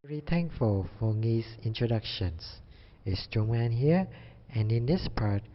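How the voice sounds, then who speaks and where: A man's voice: mid-range voice, animated, a little slow. A young man delivering a scripted student presentation. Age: young adult. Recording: dull audio with faint background noise.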